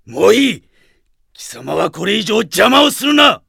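Gravelly, irate army commander. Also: male voice, high voice, very animated, a little slow, bright, forceful tone, no room sound, studio quality, exaggerated voice. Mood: indignant. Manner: imperious, indignant. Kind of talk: Japanese radio drama acting.

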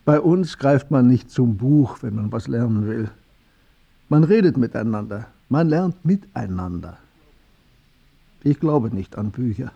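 An actor playing a wise, serene older shopkeeper with a husky, gravelly voice in a radio drama. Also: male voice, mid-range voice, muffled recording, exaggerated voice. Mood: calm, wise. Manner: unhurried, aphoristic, calm.

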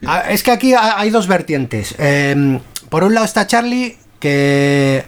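A man hosts a casual radio chat, speaking in a notably high voice. He talks haltingly, with frequent pauses and restarts. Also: lively intonation. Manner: hesitant, many fillers and restarts.

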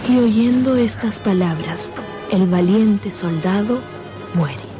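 A woman's voice: medium pitch, slow pace, over music. A woman narrating a radio drama in a scripted, dramatic storytelling style.

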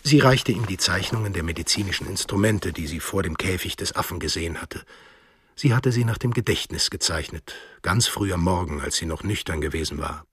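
An actor as a grave narrator, telling a thriller in a German radio play in measured literary style. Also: male voice, deep voice, expressive, a bit bright, breathy edge, full character voice. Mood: matter-of-fact.

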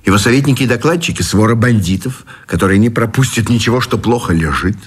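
An actor in a Russian radio drama playing a cynical, domineering middle-aged general with a sardonic, declamatory manner. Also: male voice, lowish voice, fast talker, slightly rough. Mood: scornful.

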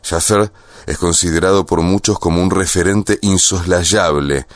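A man with a low voice delivers scripted, informative radio narration. He announces facts in complete, prepared sentences. The sound is very dry, like a studio voice-over. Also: fairly even intonation, normal speed, no room sound.

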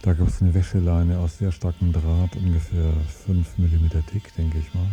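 A man's voice: sits low, level tone, moderate pace, warm tone, soft background music. A man telling a casual, unscripted memory from his childhood, thoughtful and a little hesitant, with small fillers. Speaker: interviewee recalling childhood. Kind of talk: casual spoken recollection.

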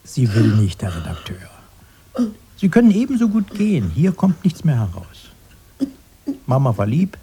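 An actor playing a protective, simple-minded and haunted grown-up brother in a radio drama, halting and repetitive, soothing one moment and evasive the next. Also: male voice, medium pitch, huge pitch swings, mellow, husky, full character voice. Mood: earnest, reminiscing. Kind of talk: 1980s German radio drama acting.

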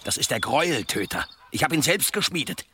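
An actor in a German radio play, playing a grieving, impatient village blacksmith bent on revenge. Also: male voice, a little high, animated, a little fast, pushed and bright. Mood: grim, determined. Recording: noisy outdoor ambience behind voice.

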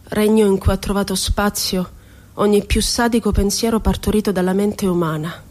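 A woman's voice: fairly high pitch, a little mellow. An actress playing a passionate, wounded woman poet shut away in an asylum, musing aloud with irony and tenderness in a theatrical radio monologue. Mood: bitter irony. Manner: dramatic, ironic, shifting between tender and indignant.

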